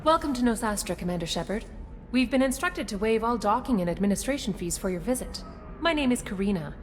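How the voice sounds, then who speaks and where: A woman's voice: a little high, animated, quick pace, soft background music, close to an everyday voice. A woman playing a formal, courteous city greeter. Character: polite female city greeter. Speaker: receptionist. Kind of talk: video game cutscene acting.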